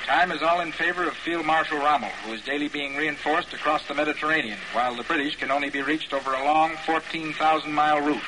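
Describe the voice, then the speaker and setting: An actor playing a matter-of-fact shipmate reading out news in 1940s radio style. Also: male voice, a little high, fairly even intonation, fast, hardly any pauses, close to an everyday voice. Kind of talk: 1940s radio acting, news bulletin delivery. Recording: noisy vintage radio recording.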